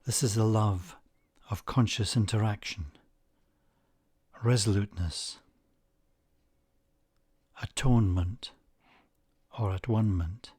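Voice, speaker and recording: A man narrating a guided meditation in a measured way, leaving long pauses between short phrases. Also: on the low side, a little mellow, slightly rough, no room sound, studio quality.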